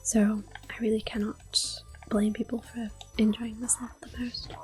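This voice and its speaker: A woman giving soft, breathy, mostly whispered ASMR commentary on a video game, gentle and close to the microphone. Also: a little high, level tone, normal speed. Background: soft game music.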